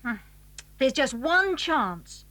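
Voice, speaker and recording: A woman's voice: high voice, sing-song, takes it slowly, a bit bright, no echo, exaggerated voice. A woman acting a plucky, scheming British schoolboy in a children's audio drama. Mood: plotting, determined.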